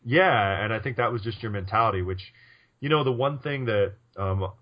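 Low-voiced man rambling on a podcast. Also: moderate pace, lo-fi sound. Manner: rambling, frequent restarts and fillers. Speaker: podcast co-host. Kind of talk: casual podcast chat.

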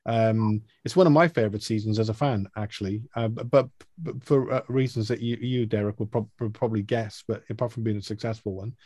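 A man hosting a phone-in show, asking rambling, halting questions. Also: on the low side, fairly even intonation, mellow, dry recording. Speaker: host. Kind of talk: casual chat.

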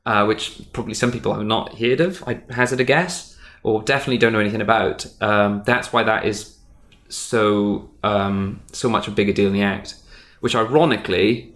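A man speaking casually as an interviewed stage magician, emphatic and animated. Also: fairly low pitch, normal speed. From Wales. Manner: animated, emphatic, acts out imagined dialogue.

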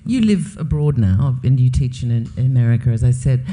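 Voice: mid-range voice, animated, normal speed, dark tone. An interviewer hosting an onstage author talk, asking curious, chatty questions with many fillers.

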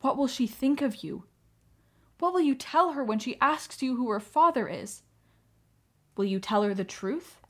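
High-pitched actress as a young mother, anguished, defiant, impassioned pleading, radio drama. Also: animated, a little slow, long pauses. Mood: resolute, sorrowful. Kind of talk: radio play acting.

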